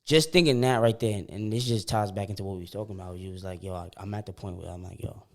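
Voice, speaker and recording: A man's voice: sits low, fast talker. A young man in his twenties co-hosting a podcast in casual chat. He talks in slang, often starts sentences again, and acts out what people said. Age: 20s. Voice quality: repeats words when starting sentences. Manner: animated storytelling, slangy, often acts out quotes. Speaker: podcast co-host.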